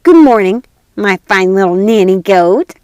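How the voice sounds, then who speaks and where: A woman's voice: medium pitch, expressive, measured pace, a little mellow, clear, resonant tone, no echo, natural voice. A woman playing a fierce, bossy little robber girl in a storybook, speaking bluntly and emphatically. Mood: commanding. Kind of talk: storybook character voice.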